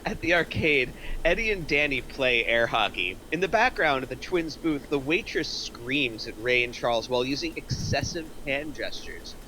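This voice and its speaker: A man's voice: medium pitch, sharp tone, a little hiss or hum, rough recording. A man reading scripted stage directions aloud in narration at a screenplay table reading.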